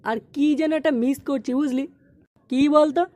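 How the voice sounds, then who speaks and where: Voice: high voice, fairly even intonation, moderate pace, a little mellow, no echo, close to an everyday voice. An adult voicing a bossy, foul-mouthed young gang leader in a Bengali comedy cartoon, brash and scolding. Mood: planning. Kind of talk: comic cartoon dubbing in colloquial Bengali.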